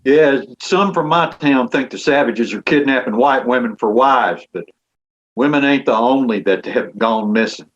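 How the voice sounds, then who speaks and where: A man's voice: mid-range voice, moderate pace, natural voice. A man playing an older, placating frontiersman at a western table read, hesitant and conciliatory, on a dull microphone. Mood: uneasy.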